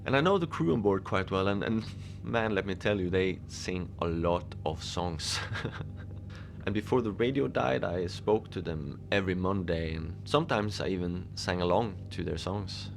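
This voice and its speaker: A man playing a lonely, gentle Antarctic facility manager, rambling hesitantly and apologetically in a cozy fiction podcast monologue. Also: deep voice, normal speed, gravelly, a little hiss or hum. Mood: wistful, friendly. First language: Norwegian. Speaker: podcast narrator.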